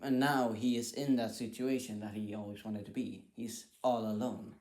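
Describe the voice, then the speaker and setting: A man's voice: lowish voice, fairly even intonation, normal speed, a little mellow. A man giving casual reaction commentary, thinking aloud in a hesitant, repetitive way. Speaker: reaction video host.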